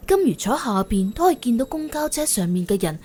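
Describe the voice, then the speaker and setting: A woman narrating an audiobook in an animated, colloquial storytelling style with a wry, expressive manner. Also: a little high, fast talker, slightly rough.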